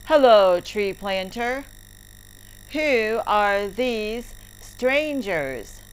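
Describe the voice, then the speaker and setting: A woman's voice: medium pitch, animated, very slow. A woman reading the part of a friendly, earnest young man in a children's play, with careful, emphatic phrasing. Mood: curious. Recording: clearly noisy recording with clicks.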